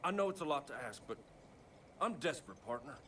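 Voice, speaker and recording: A man's voice: fairly high pitch, sing-song, fairly quick, heavy background noise. An actor playing a hot-tempered, foul-mouthed male bush pilot who breaks into swearing, emotional outbursts. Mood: desperate. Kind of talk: video game cutscene acting.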